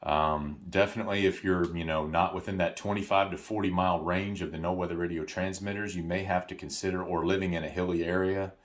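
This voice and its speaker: Man, very low-pitched voice, casual product walkthrough, informal explanatory delivery, emphatic repetition. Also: fairly even intonation, fairly quick, hardly any pauses. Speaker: product tutorial presenter. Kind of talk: casual hands-on product tutorial.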